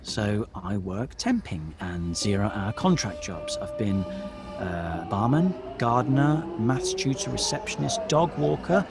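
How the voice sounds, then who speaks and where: An actor playing a disillusioned, wry jobbing actor stuck in office work, deadpan and self-deprecating, in an audio drama. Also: male voice, fairly low pitch, unhurried, creaky, over loud music, exaggerated voice. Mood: wry, reflective. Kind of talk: audio drama dialogue and monologue.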